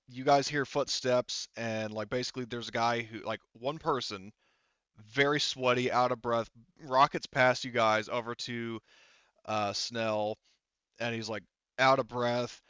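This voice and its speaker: A man improvising tabletop game narration as game master, halting, rambling and often correcting himself. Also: mid-range voice, fairly bright tone, clean tone, dry recording, lo-fi sound.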